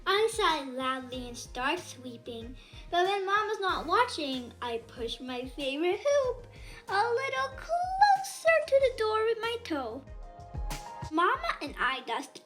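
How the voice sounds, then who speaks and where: A woman reading a children's picture book aloud in an animated, expressive storytelling way. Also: extremely high pitch, slow, soft background music. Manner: animated, dramatizes dialogue.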